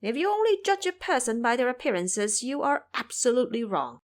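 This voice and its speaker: Woman playing a cocky, high-pitched monkey monk. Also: big pitch swings, brisk. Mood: indignant boasting. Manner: boastful, cheeky. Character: cocky hideous monkey monk, brash monster-catcher. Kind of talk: audio drama character voice.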